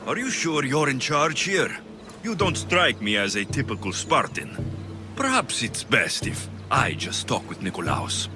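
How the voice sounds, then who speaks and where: An actor playing a cool, quietly defiant young Greek mercenary in a video game, terse and probing in his delivery. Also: mid-range voice, very animated, measured pace. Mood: dry, challenging. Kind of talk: dramatic video game cutscene acting. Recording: game soundtrack music behind the voice.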